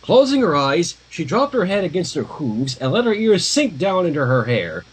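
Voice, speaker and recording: A man reading a story aloud on a livestream with expressive, dramatic narration and casual asides, in a husky voice. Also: on the high side, normal speed, low-quality recording. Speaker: fan fiction reader.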